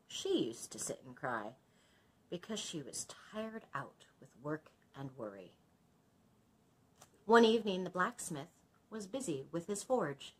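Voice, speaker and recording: A woman reads a children's book aloud in a low, husky voice. Her intonation swings widely as she narrates. She has the explanatory manner of a librarian teaching young listeners. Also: rough recording. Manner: instructive, explains old words to young listeners. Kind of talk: expressive storybook narration with explanatory asides.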